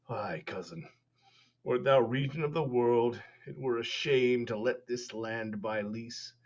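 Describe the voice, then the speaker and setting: A man in his forties playing a dying old English duke in a Shakespeare reading over a video call, declaiming slowly and with passion. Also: medium pitch. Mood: scolding. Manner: declamatory, slow and impassioned. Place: home room. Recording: muffled video call audio.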